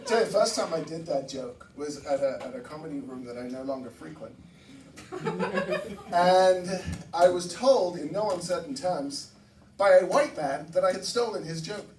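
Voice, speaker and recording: A man from Barbados doing a stand-up comedy set, swearing and jumping high in pitch for punchlines. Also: animated, normal speed, echoey room, distant mic, a crowd in the background, low-quality recording. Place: comedy club stage with audience. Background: audience laughter.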